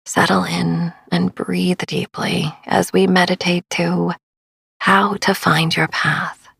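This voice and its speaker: Low, breathy woman guiding sleep meditation. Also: little pitch movement, dry recording. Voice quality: soft, breathy. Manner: soothing, unhurried, often trailing into breathy whisper. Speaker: podcast host and meditation guide. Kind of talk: guided sleep meditation narration. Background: soft background music.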